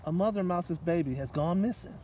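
A man's voice: high-pitched, brisk, warm tone. A middle-aged man reading a children's picture book aloud as a guest, outdoors at a park table. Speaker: guest story reader. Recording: distant microphone, light outdoor noise.

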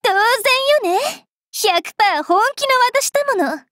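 A young female fairy knight from an anime game, with a very high voice and slow, drawn-out phrasing. She is cruel and wilful, haughty and taunting, with a rough tongue. The recording is dry and close. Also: animated, a bit bright, dry recording, exaggerated voice. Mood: smug. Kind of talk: anime mobile game voice lines.

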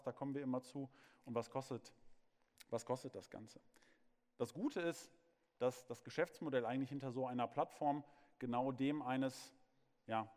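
A man's voice: medium pitch, darkish tone. A man in his thirties giving a conference keynote on stage, talking freely with fillers and restarts. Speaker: keynote speaker. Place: large hall on a conference stage. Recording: long hall reverb.

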